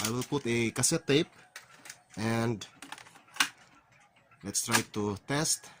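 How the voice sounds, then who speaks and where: A man's voice: mid-range voice, slow pace. A man narrating a hands-on repair tutorial, explaining plainly step by step with non-native phrasing. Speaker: repair channel host. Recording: clearly noisy recording with ambient hiss.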